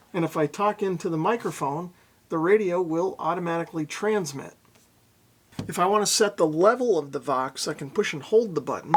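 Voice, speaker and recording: A man's voice: sits high, slight background noise. A middle-aged man narrating a casual hands-on product demo, explaining things methodically and without hurry. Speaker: radio reviewer, presenter.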